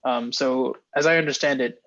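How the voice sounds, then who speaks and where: A man hosting an interview, brisk and upbeat, with many fillers as he sets up questions. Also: mid-range voice, steady tone, a bit bright, no echo, highs cut off. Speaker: host interviewer.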